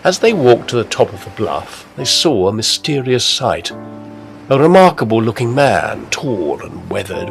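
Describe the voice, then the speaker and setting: A man acting as a storyteller with a low voice, narrating an old-fashioned ghost story in measured scripted prose. Music plays behind him. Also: gravelly. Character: storyteller narrating a spooky Victorian coastal tale.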